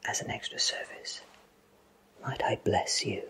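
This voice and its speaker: A gentle male potion shopkeeper from a magical ASMR roleplay, acted by a man. He whispers at an unhurried, deliberate pace. His manner is courteous and soft, spoken right up close to the listener. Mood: courteous, inviting.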